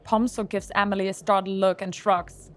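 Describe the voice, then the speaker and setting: A woman narrates an audio drama at a middle pitch. Her reading is calm and even. She keeps a steady scripted tone. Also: normal speed, soft background music.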